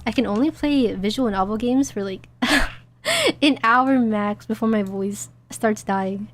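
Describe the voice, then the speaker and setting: A woman streamer with a hoarse, tiring voice, giggling and chatting casually with crude jokes as she plays. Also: mid-range voice, measured pace. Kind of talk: casual gaming stream chat.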